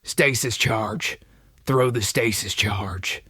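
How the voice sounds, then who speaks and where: A man's voice: lowish voice, pushed and bright, husky, no room sound, clean studio sound, voice pushed up, heavily acted. A man voicing a menacing, sneering space pirate mercenary in a sci-fi audiobook. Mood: urgent. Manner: slow, sneering. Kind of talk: sci-fi audiobook character acting.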